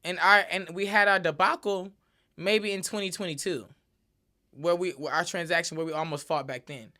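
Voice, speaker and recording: A woman's voice: on the low side, fairly even intonation, normal speed, pushed and bright. A woman hosting her own podcast, talking casually and emphatically on her own with a lot of repeated filler tags. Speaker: podcast host. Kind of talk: casual solo podcast monologue.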